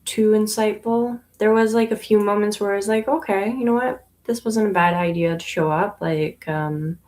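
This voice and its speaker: A young adult woman from Canada, a yarn shop owner, chatting casually on a livestream while she knits, relaxed and unhurried, with plenty of ums and pauses. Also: mid-range voice. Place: inside her yarn shop.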